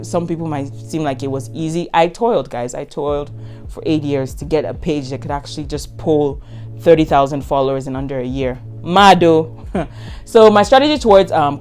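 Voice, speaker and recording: A Nigerian woman in her twenties talks through a casual question-and-answer vlog. She speaks quickly and frankly, leaning on repeated words to drive points home, with soft music in the background. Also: sits low. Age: 20s. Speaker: content creator.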